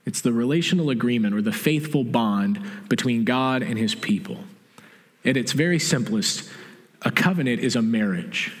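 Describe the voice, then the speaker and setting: A middle-aged man preaching a sermon in a church sanctuary, explaining calmly and restating his key points. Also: medium pitch, normal speed. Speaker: pastor. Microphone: stage mic from a far camera. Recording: long church reverb.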